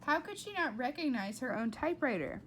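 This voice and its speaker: Lively woman reading a children's story aloud. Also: high-pitched, a little fast, a little hiss or hum. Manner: dramatic, playful delivery with whispers and asides. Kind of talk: expressive children's book narration.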